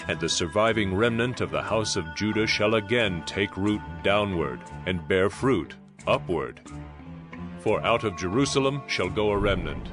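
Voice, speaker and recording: Male prophet, low-pitched, authoritative and declamatory, audio scripture drama with clear music bed. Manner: declamatory, solemn. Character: stern male prophet proclaiming God's judgment with authority.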